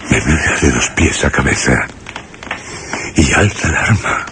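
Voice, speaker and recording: A wary, frightened narrator in a horror radio play. His extremely deep voice is grave and dramatic, with expressive swings and careful pauses over faint noise. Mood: tense, grave. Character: fearful, cautious man narrating his ordeal at gunpoint. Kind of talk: first-person suspense radio drama narration.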